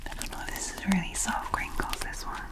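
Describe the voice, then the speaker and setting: Whispering woman with a light Scottish accent. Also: quick pace. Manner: soft unvoiced whisper, rambling and hesitant. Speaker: ASMR creator. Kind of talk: ASMR whispering. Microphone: close to sensitive mics.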